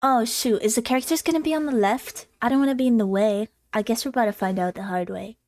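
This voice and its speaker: High-voiced woman chatting on a stream. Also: fast talker, a little mellow. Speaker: live streamer. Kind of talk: casual livestream chat.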